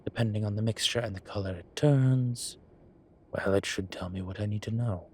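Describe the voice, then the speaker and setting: A man's voice: fairly low pitch, normal speed, a little mellow, a little hiss or hum, lightly acted. A man playing a vain, suave incubus demon who poses as a posh professor in an audio roleplay, with a wry, self-satisfied drawl. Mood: exasperated, dry. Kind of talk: scripted audio roleplay acting. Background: ambient sound effects.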